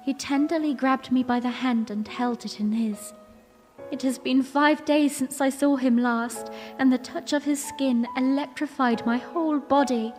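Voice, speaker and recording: A woman's voice: sits high, fairly even intonation, measured pace, darkish tone, in a large hall, faint music behind. A woman playing a young princess, narrating her memory in a dramatic first-person audio drama style. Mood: delighted wonder.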